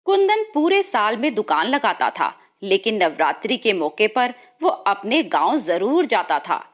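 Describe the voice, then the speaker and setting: A woman's voice: mid-range voice, big pitch swings, unhurried. A woman reading a scripted moral story as its narrator.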